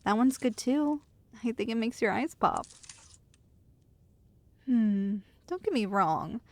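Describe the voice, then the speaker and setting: Woman acting as tender, teasing girlfriend, slightly creaky voice. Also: on the high side, lively intonation, slow pace. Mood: affectionate teasing. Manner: tender, playful, teasing, reassuring. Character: affectionate teasing girlfriend soothing her nervous partner. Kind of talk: ASMR roleplay, intimate acted monologue.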